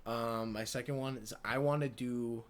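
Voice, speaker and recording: A man's voice: mid-range voice, fairly even intonation, moderate pace, slight background noise. A man in his late teens co-hosting a podcast, chatting casually in a rambling, slangy, repetitive way. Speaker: podcast co-host. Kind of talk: casual chat.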